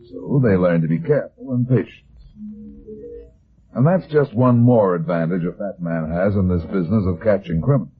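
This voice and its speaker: A man playing a wry, world-weary fat private detective in an old-style radio drama, with dry, clipped tough talk. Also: deep voice. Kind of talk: 1940s-style radio detective acting and narration. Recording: dull, lo-fi old radio recording.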